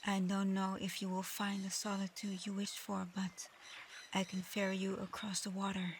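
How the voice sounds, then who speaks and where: A woman voicing a proud but easily flustered dragon girl in human form for an ASMR roleplay, speaking formally with halting stammers. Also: medium pitch, completely flat delivery, slight background noise, natural voice. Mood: softened, earnest. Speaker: audio roleplay performer.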